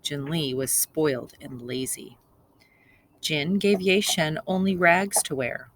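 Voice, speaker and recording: A woman's voice: sits low, slow, fairly bright tone. A woman reading aloud to young children as a teacher, explaining simply and repeating key points. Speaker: second grade teacher.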